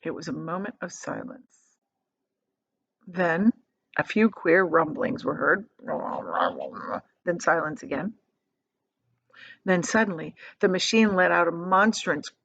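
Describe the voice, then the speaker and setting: A woman's voice: fairly low pitch, slow pace, vocal fry, pauses often, a bit bright, slightly rough. Woman storyteller, slightly dull recording. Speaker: storyteller reading aloud. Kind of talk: expressive children's book narration.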